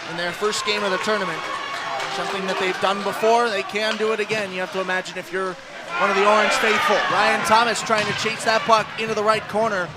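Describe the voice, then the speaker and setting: A man's voice: high voice, very noisy. An American man doing live play-by-play radio commentary of a hockey game, describing the action in a descriptive announcer's style. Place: ice rink during a live game. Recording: music playing loudly behind live commentary.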